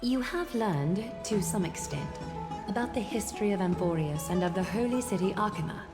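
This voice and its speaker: A woman playing a serene, solemn priestess in a video game, speaking slowly and formally with a breathy, sometimes whispering voice. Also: mid-range voice, animated, natural voice. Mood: solemn, instructive. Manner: slow, deliberate, formal and commanding. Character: serene, solemn priestess guiding a sacred water ritual. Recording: background music under game dialogue.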